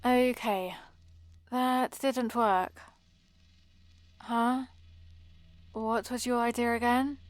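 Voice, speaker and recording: A woman's voice: fairly high pitch, no room sound. A woman playing a prim, bossy and exasperated vampire librarian in a scripted ASMR roleplay, scolding in a fussy, theatrical way.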